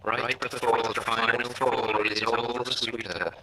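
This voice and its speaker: A man's voice: medium pitch, little pitch movement, measured pace, pushed and bright. Man as a piercing, echoing hollow monster. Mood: gloating. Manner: cryptic, repetitive, menacing incantatory delivery. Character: ruthless hungry hollow creature that mimics and taunts its victims. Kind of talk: audio drama acting. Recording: echoing voice with faint background noise.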